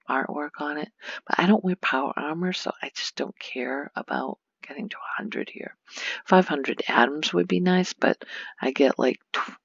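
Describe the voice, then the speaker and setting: A woman giving a soft-spoken ASMR game tour, hushed and often slipping into a whisper, breathy and creaky, rambling unhurriedly as she thinks aloud. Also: low-pitched, no room sound, muffled recording. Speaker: gaming ASMR host.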